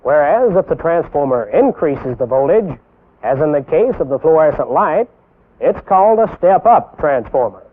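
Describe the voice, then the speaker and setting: A young American man giving scripted instructional narration as a military instructor, deliberate and didactic, posing rhetorical questions. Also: fairly high pitch, big pitch swings. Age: young adult. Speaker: military instructor presenting a training film. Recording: tinny band-limited 1960s film soundtrack.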